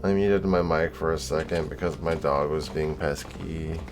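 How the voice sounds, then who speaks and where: A man's voice: extremely low pitch, level tone, normal speed. A man reacting casually on a live game stream in an offhand, irreverent way. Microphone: streaming mic. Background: faint game music.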